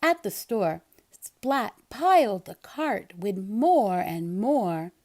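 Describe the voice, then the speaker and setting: A woman reading a children's picture book aloud in an expressive narrating style. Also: mid-range voice, takes it slowly. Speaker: storybook reader.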